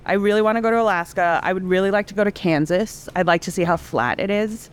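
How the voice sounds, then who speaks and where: Chatty woman with a smooth voice. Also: medium pitch, quick pace. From Singapore, raised in Indonesia and Hong Kong. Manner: chatty, frequent "like" fillers, laughs easily. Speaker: guest, cookbook author. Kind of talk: casual podcast chat.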